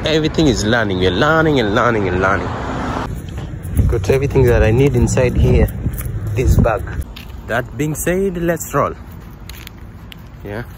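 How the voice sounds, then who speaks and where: A man's voice: mid-range voice, expressive, measured pace, long pauses. A man from Kenya talking casually into his phone as he walks through town, playful and chatty. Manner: playful, acts out comic scenes. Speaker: vlogger. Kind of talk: casual vlog narration, walking outdoors. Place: city street outdoors, then a laundromat. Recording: street traffic noise behind. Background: passing cars.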